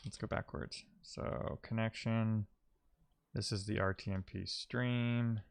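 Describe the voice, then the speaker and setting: A man with a fairly low, clean and resonant voice talks through code on a live stream. He thinks out loud casually, muttering to himself as he troubleshoots and dropping wry little asides. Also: steady tone, slow pace, gravelly, clear, resonant tone. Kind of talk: casual thinking-aloud tech commentary.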